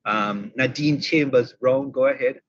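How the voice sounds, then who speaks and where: A man's voice: medium pitch, unhurried, muffled recording. A man hosting an online session for teachers. He is chatty and teasing, and he repeats his points. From Jamaica. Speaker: webinar host. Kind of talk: online professional development session.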